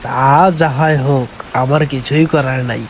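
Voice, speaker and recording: A man plays a stern village headman in a cartoon folk tale. He talks slowly with a cold, dismissive manner, over faint rain and hiss. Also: medium pitch, fairly even intonation, lightly acted. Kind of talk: animated folk tale acting.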